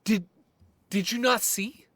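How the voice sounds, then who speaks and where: An actor playing a shaken, suspicious man in an audio drama, speaking haltingly and with exasperation. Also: high-pitched, a bit bright, no room sound, full character voice. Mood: alarmed, insistent. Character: Greg, shaken, suspicious man unnerved by strange events.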